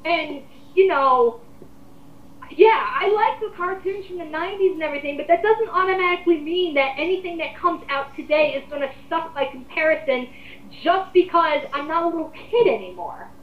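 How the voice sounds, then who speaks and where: Woman, twenties. High-pitched, emphatic, casual opinion host. Dull, reverberant recording. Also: lively intonation, moderate pace. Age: 20s. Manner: rambling, blunt, emphatic. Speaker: host leading a group discussion. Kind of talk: casual opinionated commentary chat.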